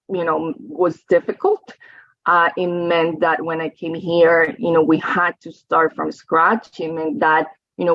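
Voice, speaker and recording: A woman's voice: fairly low pitch, fairly bright tone, no echo. A woman, giving a reflective talk over slides and often checking in with "right?" and "you know". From Colombia. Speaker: guest speaker. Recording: dry, slightly dull audio.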